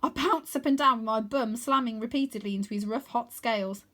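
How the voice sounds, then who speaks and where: A woman reading a children's story aloud in an expressive narrating style. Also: fairly high pitch, a little fast. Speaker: storybook reader.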